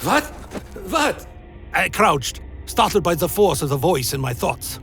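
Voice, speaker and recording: A solemn undead vampire recounts his wanderings in deliberate, literary phrases. The voice is slightly high for a man and heavy with creak, set over faint music in a dark fantasy radio play. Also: sing-song, heavily acted. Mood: startled, questioning. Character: weary undead male vampire wanderer narrating his journey. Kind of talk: dramatic first-person scripted narration, dark fantasy radio play. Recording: music behind the voice.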